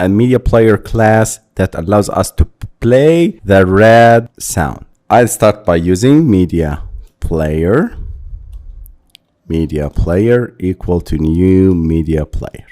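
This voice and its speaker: Male instructor, tutorial narration, lowish pitch, very unhurried pace, deliberate and instructive. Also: expressive, a little mellow. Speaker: programming instructor. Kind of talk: tutorial narration with step-by-step explanation.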